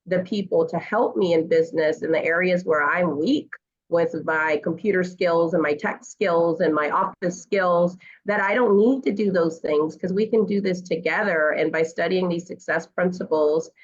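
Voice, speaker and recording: A woman's voice: on the low side, moderate pace, dull audio. A woman speaking as a guest parent coach in a conversational interview, earnest and encouraging, with fillers.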